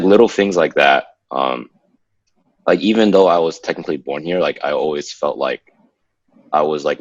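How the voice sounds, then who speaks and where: A man's voice: a bass voice, dry recording, lo-fi sound. A young man from the United States, a podcast co-host, talking casually and ramblingly with many fillers. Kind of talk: casual conversation.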